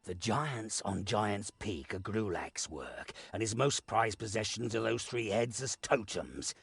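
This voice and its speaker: A man playing a shrewd, commanding war leader in a fantasy game, measured and authoritative. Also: fairly low pitch, lively intonation, creaky, fairly bright tone, breathy edge, dry recording, heavily acted. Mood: resolute scheming.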